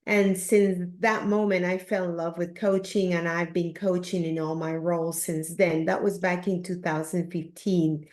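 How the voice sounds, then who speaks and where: A woman in her fifties from Peru with a Peruvian Spanish accent, speaking in a measured, earnest way as an interview guest. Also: fairly low pitch, flat delivery, a little mellow. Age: 50s. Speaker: podcast guest, leadership coach. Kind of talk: reflective interview talk. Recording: roomy, echoing sound.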